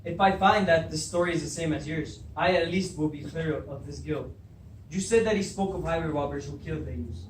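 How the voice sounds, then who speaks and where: Troubled, hot-tempered tragic king, played by a young man, higher male voice, stage readers theatre, distant mic with some noise. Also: brisk, close to an everyday voice. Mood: anguished. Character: troubled, hot-tempered king of Thebes, fearful and guilt-ridden. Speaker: student actor. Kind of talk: readers theatre stage acting of Greek tragedy. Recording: distant echoey room recording with background noise.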